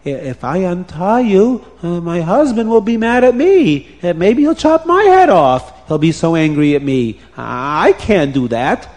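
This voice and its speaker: In a long, echoing hall, a timid farmer's wife as acted by a male storyteller frets about her angry husband. The voice climbs high and stammers, stretching out its words with hesitation. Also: lively intonation. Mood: anxious, hesitant. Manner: hesitant, stammering, drawn-out questions.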